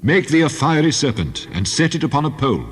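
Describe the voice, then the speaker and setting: An actor playing a stern, commanding God in a dramatized scripture reading, speaking solemnly and with weight in archaic English. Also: male voice, fairly high pitch, very animated, a little fast, slightly rough. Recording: long cavernous reverb.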